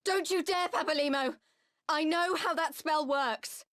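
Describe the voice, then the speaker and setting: A woman's voice: sits high, measured pace, fairly bright tone, no echo, clean studio sound. A woman playing a stubborn, fiery young heroine in a video game cutscene, blunt and impassioned. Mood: desperate defiance.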